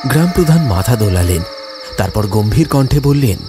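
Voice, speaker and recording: A man narrates a scripted horror tale over loud music. His mid-pitched voice moves widely up and down, keeping a dramatic, suspenseful tone. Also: expressive, a little fast. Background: music, insect sounds.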